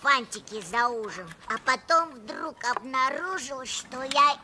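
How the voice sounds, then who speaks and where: A woman's voice: sits high, expressive, a little slow, pushed and bright, full character voice. A woman voicing a naive, bewildered little boy in a cartoon, hesitant and plaintive. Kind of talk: animated film acting.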